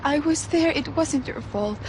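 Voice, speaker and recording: A woman as a halting, grateful young wife. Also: high voice, natural voice. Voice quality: halting, earnest. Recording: noisy background.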